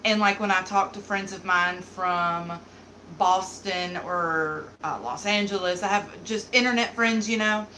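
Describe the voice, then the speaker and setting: A woman's voice: medium pitch, steady tone, measured pace, bright, forceful tone, a little hiss or hum, rough recording. A woman in her thirties with a light Southern accent, chatting casually and rambling with lots of fillers as she hosts a live beauty stream. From American South. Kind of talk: casual live-stream chat reading comments.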